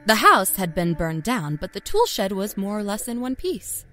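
A woman's voice: mid-range voice, sing-song, moderate pace, bright, forceful tone, faint music behind. A woman playing a candid, wry narrator who recalls a grim childhood killing in a dramatic first-person horror story. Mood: grim, reflective.

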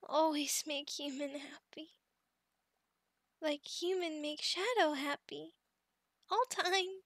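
A woman voicing a sweet, childlike, devoted adult female goblin in an ASMR roleplay, speaking in halting, excitable broken third-person sentences. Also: sits high, no room sound, studio quality, full character voice. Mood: devoted, tender.

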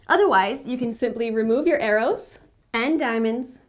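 A young woman with a fairly high voice presents an instructional video. She explains each step in a measured way, like a practical tutorial guide. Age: young adult.

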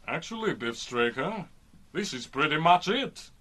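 A man's voice: a little high, sing-song, a little slow, fairly bright tone, heavily acted. A man playing a proud, literal-minded birdman warrior in a radio serial, speaking in a formal, archaic, declamatory way. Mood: matter-of-fact. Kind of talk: old-time radio serial acting.

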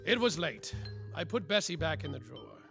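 Creaky-voiced hard-boiled private eye. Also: male voice, fairly high pitch, big pitch swings, normal speed, full character voice. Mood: moody, hard-boiled. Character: world-weary, self-important male noir private detective. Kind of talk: noir radio-play narration, slapstick. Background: music.

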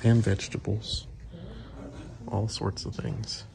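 A man's voice: lowish voice, slow, gravelly. A man casually narrating a walk-through of a large indoor antique mall, filmed on a handheld phone. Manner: relaxed, chatty, steady. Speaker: antique booth owner touring a mall. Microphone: handheld phone camera. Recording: shop noise behind voice. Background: shop ambience.

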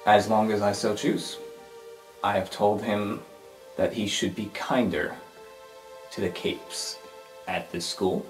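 Guy doing an arrogant evil history teacher, low measured menacing voice, superhero game, music underneath. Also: steady tone. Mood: cold, domineering. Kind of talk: improvised superhero roleplay podcast.

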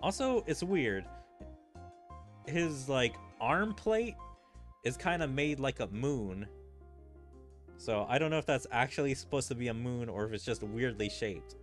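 A man's voice: mid-range voice, expressive, measured pace, long pauses, faint music behind. A man gaming streamer giving casual, rambling playthrough commentary, thinking aloud in a puzzled way.

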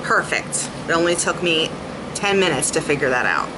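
A woman's voice: low voice, big pitch swings, normal speed. A woman in her thirties chatting casually at home as she sorts cables and gets ready to rehearse, thinking aloud as she goes. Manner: chatty, thinking aloud while sorting gear. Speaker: beauty and music vlogger. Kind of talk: casual vlog chat. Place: small home kitchen, then a home office. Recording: audible background noise, rain and thunder outside.

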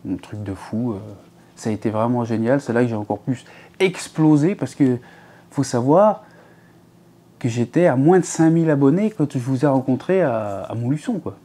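Casual home vlogger, man in his thirties, mellow tone, rambling and full of fillers. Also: medium pitch, normal speed. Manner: chatty, rambling, many fillers and restarts. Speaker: fishing channel host. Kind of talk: casual chat to viewers. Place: living room at home, seated in an armchair.

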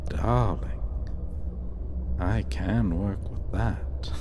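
A man playing a smug, sadistic, possessive vampire lord in an ASMR roleplay, teasing with mock affection and quiet menace. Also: lowish voice, steady tone, extremely slow, noisy background. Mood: smug, flirtatious. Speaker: voice actor in audio roleplay.

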